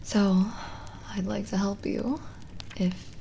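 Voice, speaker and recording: Woman acting a slow, soft-whispering lonely witch. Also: medium pitch, fairly even intonation, a little hiss or hum. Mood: lonely, vulnerable. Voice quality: soft, breathy, often whispered. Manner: unhurried, intimate, halting pauses. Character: lonely, gentle woman witch, mysterious and kindly coaxing. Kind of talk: ASMR roleplay, slow soothing scripted acting.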